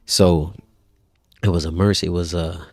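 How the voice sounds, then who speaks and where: Male guest, 30s: very low, gravelly voice, dry close sound, relaxed storyteller. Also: moderate pace, no room sound. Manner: relaxed, conversational, frequent restarts and religious phrases. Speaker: podcast guest. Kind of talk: personal storytelling in casual conversation.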